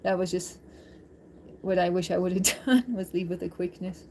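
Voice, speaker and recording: A woman's voice: lowish voice, moderate pace, warm tone. Chatty woman livestreamer over background noise. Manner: informal, rambling, giggly. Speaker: livestream host chatting with viewers. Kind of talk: casual livestream chat.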